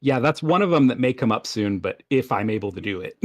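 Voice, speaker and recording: A man chatting casually as a podcast guest, with a hesitant, halting way of talking. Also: mid-range voice, expressive, dry recording, studio quality. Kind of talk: casual chat.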